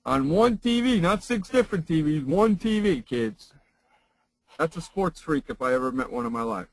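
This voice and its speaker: Loud, emphatic man teaching voice. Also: on the high side, lively intonation, normal speed, gravelly, no echo, poor audio. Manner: loud, emphatic, repeats phrases for effect. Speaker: broadcast voice trainer. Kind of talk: casual chat turning into a spoken lesson.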